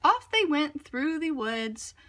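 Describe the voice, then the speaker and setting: A woman reading a children's picture book aloud in an expressive, deliberate, dramatic narration style. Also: sits high, a little hiss or hum. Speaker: storybook reader.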